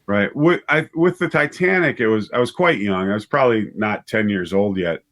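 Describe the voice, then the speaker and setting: A man in his fifties telling stories as an interview guest, conversational and halting, often repeating words and correcting himself. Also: fairly low pitch, fairly bright tone. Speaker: writer and director, interview guest.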